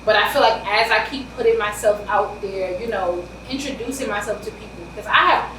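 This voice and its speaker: A woman with a New York accent, an author being interviewed in casual chat, talking loud and animated with quick bursts. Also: medium pitch. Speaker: author guest. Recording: roomy, distant mic, faint hiss.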